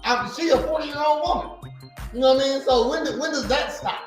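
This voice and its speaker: A man's voice: extremely high pitch, fairly quick. A man in his forties co-hosting a podcast in rambling, profane casual chat, laughing often and repeating "you know what I mean". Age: 40s. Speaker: podcast co-host. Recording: very echoey room, faint music.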